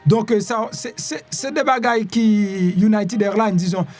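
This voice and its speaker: A man's voice: high voice, unhurried, soft background music. A man with a Haitian accent giving informal news commentary, conversational, repeating phrases and putting questions to the listener. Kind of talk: informal news commentary in Creole with some French and English.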